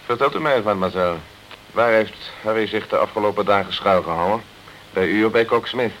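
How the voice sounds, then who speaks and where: An actor playing a pushy, urgent male investigator in a radio crime drama, speaking in a brisk, commanding, insistent way. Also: fairly low pitch, fairly bright tone, lightly acted. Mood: probing. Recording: noisy old radio play recording.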